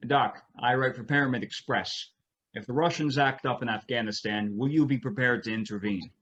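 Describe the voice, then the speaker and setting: A man plays a probing reporter in an amateur drama. He has a medium-pitched, businesslike voice and puts things pointedly, with slightly lo-fi, muffled sound. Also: normal speed, fairly bright tone, breathy edge. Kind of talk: fan-made drama acting. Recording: dull remote-call recording.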